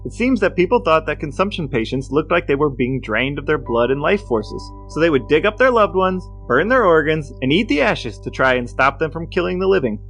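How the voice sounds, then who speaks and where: A man's voice: on the high side, sing-song, fairly quick, hardly any pauses, fairly bright tone, soft background music. A man co-hosting a podcast, explaining things in a bookish way, partly read and partly conversational. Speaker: podcast co-host. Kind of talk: scripted reading and casual discussion.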